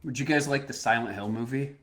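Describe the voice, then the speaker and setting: A man's voice: medium pitch, a little fast. A man co-hosting a podcast in a casual chat, pushing his point with argumentative energy. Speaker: podcast co-host.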